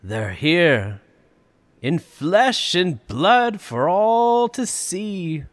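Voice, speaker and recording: A man's voice: high-pitched, very animated, extremely slow, a bit bright, exaggerated voice. A man playing a proud, showy older park owner in an audio drama, with a theatrical, grandiose manner. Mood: grand.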